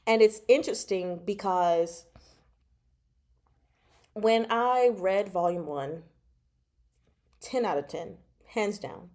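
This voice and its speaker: A woman hosting a casual book discussion, chatty and expressive, often pausing mid-thought. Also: medium pitch. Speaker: book discussion vlog host. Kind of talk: casual live book chat.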